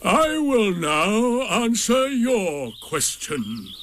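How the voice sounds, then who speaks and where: A man voicing a gloomy, scornful old donkey in a storybook, with slow and solemn pronouncements. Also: high voice, very animated, a bit bright, voice pushed up, full character voice. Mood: solemn, ponderous.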